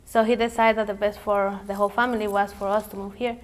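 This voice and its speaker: Flat-voiced woman from Mexico. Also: mid-range voice. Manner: careful, halting. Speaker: youth immigrant activist guest. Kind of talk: interview answers.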